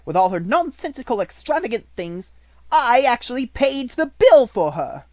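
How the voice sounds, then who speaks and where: A man voicing a cold, domineering, sharp-tempered headmistress in an audiobook story, scolding and commanding. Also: very high-pitched, sing-song, normal speed, no echo, pitched above the performer's own voice. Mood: angry, scornful.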